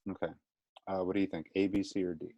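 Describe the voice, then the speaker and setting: A man's voice: sits low, level tone, fast talker, dry recording, lo-fi sound. A man teacher leading a small online class discussion, encouraging students and checking in often. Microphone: video call.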